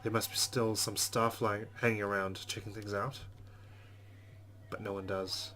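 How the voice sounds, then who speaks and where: A man's voice: fairly low pitch, little pitch movement, a little slow, sharp tone. A man reading a visual novel's first-person narration aloud as a let's player. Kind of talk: dramatic narration of on-screen game text. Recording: noticeable background noise.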